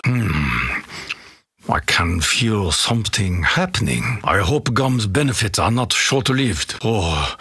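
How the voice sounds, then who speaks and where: A very raspy, creaky-voiced Japanese warrior for a video game. He speaks accented English in a grave, formal, proud way, as one bound by honor. His pitch swings widely for such a solemn man. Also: sharp tone, dry recording, studio quality, full character voice. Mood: wary, puzzled. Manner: formal, grave, stilted, proverb-laden. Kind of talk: video game character voice acting.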